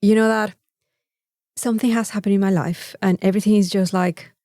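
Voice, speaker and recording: A woman's voice: mid-range voice, fairly even intonation, a little fast, dark tone, no echo, studio quality. A woman with a Mexican accent, co-hosting a casual podcast chat in an informal, rambling, sweary way. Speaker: podcast co-host.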